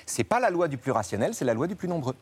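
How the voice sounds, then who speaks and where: A man speaking as a guest in a discussion, thoughtful and animated, often quoting others in a mimicking tone. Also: a little high, fast talker, slightly rough. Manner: animated, quotes people in put-on voices. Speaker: author guest. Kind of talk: studio discussion.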